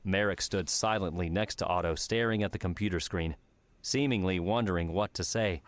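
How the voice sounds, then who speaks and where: A man's voice: low voice, normal speed. A man reading scripted story narration as an audiobook narrator.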